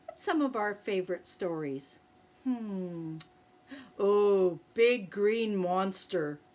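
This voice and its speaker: A woman retelling a fairy tale to young children in a gentle, expressive, sing-song way. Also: mid-range voice, slow. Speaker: early-years storyteller. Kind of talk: children's story reading.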